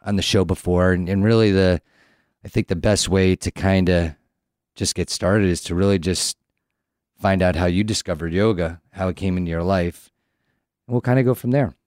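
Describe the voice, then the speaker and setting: Deep-voiced male interview host. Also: fairly even intonation, moderate pace, darkish tone, no room sound, studio quality. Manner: encouraging, many mm-hmms and restarts. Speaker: podcast host.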